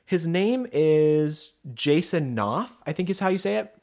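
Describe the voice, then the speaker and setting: A man from the Pacific Northwest co-hosting a gossip podcast. His voice swoops up and down as he talks. He is animated and chatty, and scatters "like" through his sentences. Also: a little high, unhurried. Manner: animated, many "like" fillers, reads excerpts aloud. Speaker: podcast co-host. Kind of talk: casual celebrity gossip chat.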